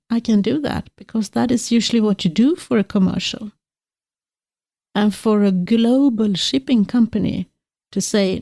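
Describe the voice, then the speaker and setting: Husky-voiced Swedish woman, low and mellow. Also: no room sound. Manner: earnest, reflective, frequent restarts. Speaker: podcast host. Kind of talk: interview conversation.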